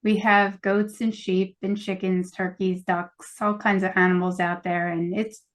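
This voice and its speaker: Easygoing woman podcast guest, mid-pitched. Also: little pitch movement, unhurried. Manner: easygoing, laughs often. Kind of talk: casual chat.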